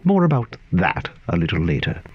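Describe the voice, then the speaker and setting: A man narrating a scripted story in a theatrical, wry, literary manner with deliberate phrasing. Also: low voice, huge pitch swings, muffled recording, background noise.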